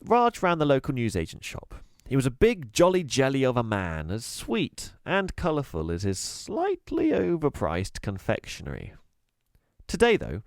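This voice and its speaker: Expressive man reading a children's book. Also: medium pitch, no echo, clean studio sound. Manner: expressive, lively storytelling. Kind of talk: storybook read-aloud.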